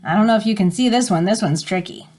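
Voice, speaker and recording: A woman's voice: mid-range voice, fast, a little mellow. A woman reading an educational picture book aloud as a teacher, explaining and prompting listeners as she goes. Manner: explanatory, stops to define words and prompt listeners.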